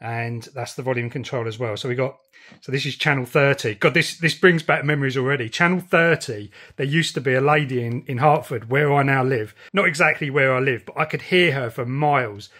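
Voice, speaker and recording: A man's voice: mid-range voice, brisk, bright, forceful tone. A middle-aged man with a southern English accent chatting informally in a casual hobby vlog. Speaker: radio hobbyist vlogger. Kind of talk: casual vlog chat and radio calls. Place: house and back garden.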